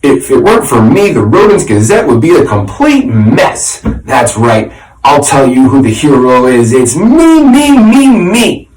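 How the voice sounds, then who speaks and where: A man's voice: medium pitch, sing-song, unhurried, a little mellow, roomy sound, far from the mic, faint music behind, low-quality recording. Man voicing a bossy, screeching old grandfather mouse. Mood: boastful, shouting. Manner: screeching, commanding, scornful.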